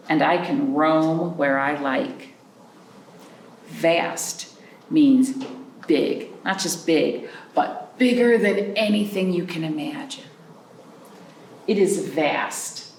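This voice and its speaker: A woman hosting a library storytime, reading picture books and chatting to young children in an animated way with simple questions. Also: low voice, slow. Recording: roomy, distant mic with background noise.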